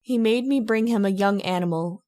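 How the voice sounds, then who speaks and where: Lady acting as a scared heiress, medium pitch, old radio play style. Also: normal speed, warm tone, close to an everyday voice. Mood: haunted. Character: frightened heiress freed from a vampire's spell.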